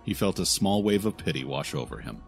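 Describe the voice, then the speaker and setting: A man narrating a scripted fantasy audio drama in a solemn, deliberate way. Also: low voice, lively intonation, gravelly. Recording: faint music behind the voice.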